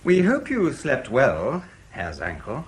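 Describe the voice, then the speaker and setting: An actor playing a polite, probing British intelligence interrogator in a radio drama, courteous, measured and insinuating, with a husky voice. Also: male voice, medium pitch, wildly swooping pitch, heavily acted. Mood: polite, formal.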